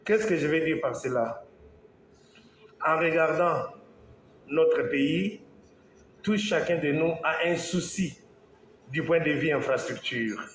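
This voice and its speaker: A man from Congo, an engineer, giving a slow, halting talk to an audience, with pauses and restarts. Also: on the high side. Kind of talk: speech to an audience. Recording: echoey hall, faint background noise.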